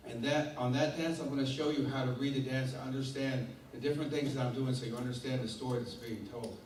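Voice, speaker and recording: A man's voice: mid-range voice, steady tone, fast, a little mellow, slight background noise. Man speaking in a very echoey hall. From Mescalero Apache. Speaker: performer and storyteller. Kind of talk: live talk to an audience. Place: lecture hall before an audience. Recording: echoey hall mic heard from far off.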